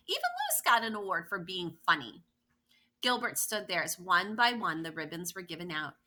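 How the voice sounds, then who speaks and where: Bright-voiced woman reading a children's story. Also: medium pitch. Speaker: reader of a children's picture book. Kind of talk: expressive read-aloud storytelling with asides.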